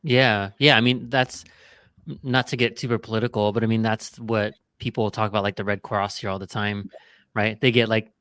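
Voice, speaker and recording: A man hosting an interview podcast, explaining points to listeners in a relaxed, conversational way. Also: lowish voice, moderate pace, smooth, no echo. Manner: explanatory, drawing business lessons. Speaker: podcast host. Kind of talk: casual interview chat.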